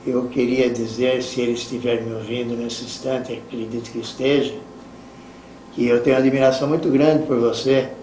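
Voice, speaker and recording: A Brazilian man giving a spoken testimonial in a measured, halting way. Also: medium pitch, little pitch movement, darkish tone. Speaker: interviewee giving a tribute. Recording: roomy, distant mic, faint noise.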